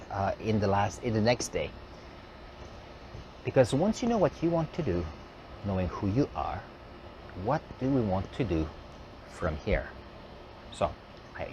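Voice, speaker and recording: Guy doing a live stream, lowish voice, thinking out loud, traffic noise behind. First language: French. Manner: reflective, thinking aloud with fillers and restarts. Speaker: host.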